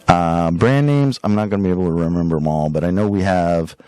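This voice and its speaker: Low-voiced man chatting casually, dry close sound. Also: mellow, clean tone, dry recording. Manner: conversational, drawn-out emphasis, tag questions. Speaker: podcast host and record shop seller. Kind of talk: casual rambling product showcase.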